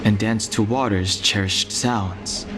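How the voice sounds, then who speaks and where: An actor playing a calm, solemn guide of an ancient people in a video game, speaking in a measured way. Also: male voice, lowish voice. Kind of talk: scripted game dialogue. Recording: loud music behind the voice.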